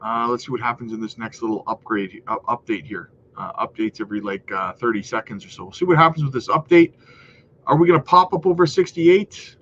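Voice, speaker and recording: A man's voice: medium pitch, brisk, fairly bright tone. A man hosting a casual crypto market livestream, chatty and hyped, with a slightly nasal voice, often calling viewers guys. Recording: dull lo-fi audio with faint noise.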